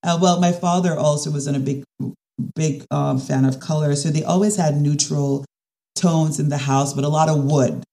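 A woman, a design specialist talking through her ideas in a casual interview, often pausing and restarting. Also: low voice, steady tone, mellow. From Caribbean. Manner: thoughtful, with frequent restarts and fillers. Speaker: design and construction specialist guest.

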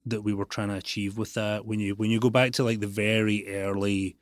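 A man's voice: on the low side, flat delivery, a little fast, no room sound, clean studio sound. A man hosting a podcast, asking long, loose questions peppered with "like" and "you know". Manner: rambling questions full of likes and you-knows. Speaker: podcast host. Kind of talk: casual interview questions.

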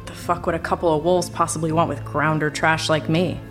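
A woman playing a cocky, sardonic, wisecracking lowlife in an audio drama. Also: flat delivery, a little fast, faint music behind, natural voice. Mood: defiant, wary. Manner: glib, sardonic. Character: cocky, wisecracking female lowlife smooth-talking the police.

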